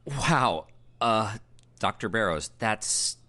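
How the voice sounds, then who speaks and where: A man's voice: lowish voice, expressive, takes it slowly, sharp tone, exaggerated voice. A man playing a brash young theater director in an audio drama, guarded and dry, with restrained replies. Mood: grateful, stunned.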